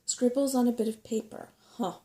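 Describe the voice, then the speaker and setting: A woman voicing a crabby, sharp-tongued female brownie from a storybook, complaining and scornful. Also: on the high side, steady tone, fairly quick, natural voice. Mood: grumpy, scornful. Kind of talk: storybook character voice in a soft-spoken reading.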